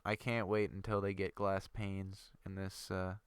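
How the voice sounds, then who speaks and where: A man's voice: low voice, level tone, unhurried, smooth, dry recording. A young man with a stuffy nose from a cold, rambling and thinking aloud with lots of fillers while commenting on his game. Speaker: gaming video creator. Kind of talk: casual gameplay commentary.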